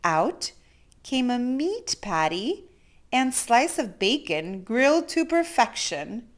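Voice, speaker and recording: A woman with a fairly high voice reads a children's story aloud. Her delivery is theatrical and very animated in pitch. She goes very slowly, stretching important words for young listeners. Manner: theatrical, with gasps and drawn-out emphasis. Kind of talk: expressive picture-book read-aloud.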